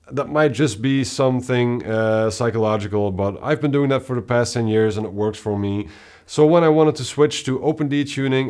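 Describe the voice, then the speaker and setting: Brief: deep-voiced male guitarist, mid-pitched, calm talk to camera, steady explainer. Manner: steady, explanatory, with occasional filler sounds. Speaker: guitarist and channel host. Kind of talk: casual gear talk to camera.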